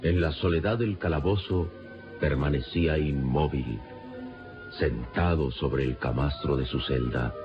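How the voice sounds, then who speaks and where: A man narrating an adventure radionovela in a grave, theatrical, measured style. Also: rumbling low. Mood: grave, suspenseful. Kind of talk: dramatic scripted radionovela narration. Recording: muffled radio drama under loud music.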